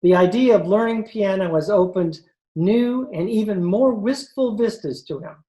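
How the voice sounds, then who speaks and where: A woman's voice: mid-range voice, moderate pace, warm tone. A woman announcer reading scripted narration for an old-time radio show. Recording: video call recording.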